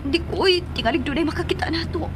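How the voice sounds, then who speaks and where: Babaeng gumaganap na balisang ina, napakataas ng boses. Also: female voice, high-pitched, rapid-fire, lightly acted. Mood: coy, uneasy. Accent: Cebuano. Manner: worried, emotional, plaintive. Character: anxious, guilt-ridden mother searching for her daughter. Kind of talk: Philippine radio drama acting. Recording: noisy, car sound behind.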